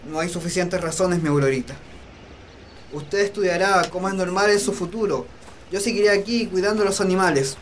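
A man's voice: high-pitched, fairly quick, slight background noise, close to an everyday voice. A man playing a humble, lovelorn young farmhand in a romantic radio drama, earnest and poetic. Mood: resigned, proud.

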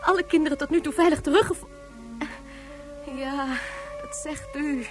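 A woman plays a worried mother in a radio play. Her high voice is anxious, insistent and pleading over faint music, full of fear for her child. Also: fairly even intonation, normal speed, lightly acted. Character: frightened, pleading mother of a missing son. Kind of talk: radio drama acting.

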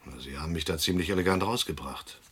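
Husky, wary hunted gangster. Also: male voice, low voice, fast talker, a bit bright. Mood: guarded, resigned. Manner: terse, sardonic, unimpressed. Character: wary, resigned male fugitive from a crime syndicate. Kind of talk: German radio drama dialogue.

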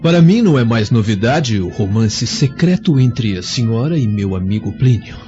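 An actor playing a sly, scheming Roman suitor in a radio drama, talking in an insinuating, theatrical way. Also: male voice, mid-range voice, expressive, moderate pace, warm tone, natural voice. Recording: muffled lo-fi audio, faint music behind.

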